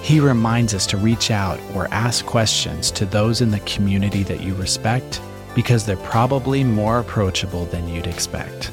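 A man hosting a podcast, reading a measured scripted intro and then asking interview questions. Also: fairly low pitch. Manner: measured, smooth presenter delivery. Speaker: podcast host. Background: music under the intro.

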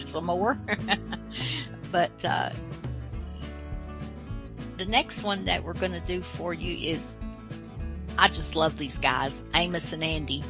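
Music plays behind a woman with a slightly low voice and an unhurried pace. She chats casually as a radio host, giggly and informal. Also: fairly even intonation. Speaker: host of an old-time radio show. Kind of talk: casual chat introducing programs.

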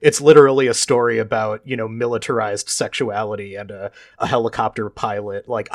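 Guy going off on a tangent, lots of swearing, kinda low voice, dry close mic. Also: no echo. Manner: rambling, emphatic, swears freely. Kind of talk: casual chat.

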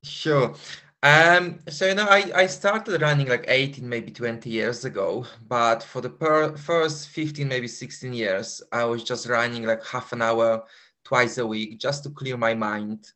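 A man in his forties with a Polish accent, a guest runner who speaks halting English, searches for words, and laughs often. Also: medium pitch, pushed and bright. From Poland. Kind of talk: casual interview.

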